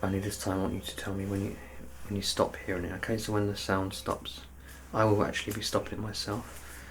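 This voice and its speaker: A man with a fairly low voice performs an ASMR doctor roleplay. He instructs softly and reassuringly, as if guiding a patient through an exam. Clear background noise and ambience sit behind his voice. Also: completely flat delivery, brisk.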